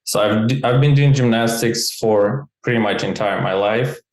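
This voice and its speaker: A man's voice: medium pitch, normal speed, darkish tone. A man from Ukraine with an Eastern European accent, speaking casual non-native English as an interview guest, peppering his informal talk with "like". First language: not English. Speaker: interview guest, gymnastics coach and QA engineer. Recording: roomy, echoey sound.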